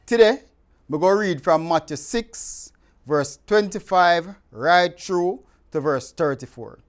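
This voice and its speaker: Expressive Jamaican man reading scripture. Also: high-pitched, slow pace, faint music behind. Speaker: scripture reader. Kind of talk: expressive scripture reading in Patwa, addressed to viewers.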